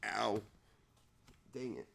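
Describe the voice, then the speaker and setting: A man reading legal text aloud haltingly, rereading and correcting himself, with irreverent, offhand commentary of his own. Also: on the low side, vocal fry, background noise. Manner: irreverent, sarcastic asides. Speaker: amateur reader of a legal document.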